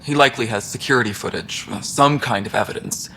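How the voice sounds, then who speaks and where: An earnest engineering chief, mid-pitched and concerned, faint game noise. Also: male voice, fast talker, sharp tone. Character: male station engineering chief, worried and protective.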